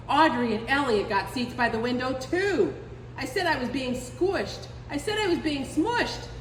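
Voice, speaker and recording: High-pitched woman reading a storybook, echoey room. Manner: drawn-out emphasis on key words. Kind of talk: expressive picture-book read-aloud for children. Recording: echoey reverberant room with faint noise.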